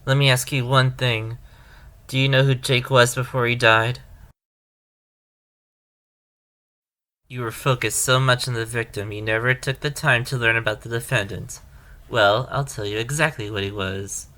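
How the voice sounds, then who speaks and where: A man's voice: medium pitch, fairly even intonation, moderate pace, pushed and bright, lightly acted. A man playing an earnest, determined defense attorney in a courtroom audio drama, arguing emphatically.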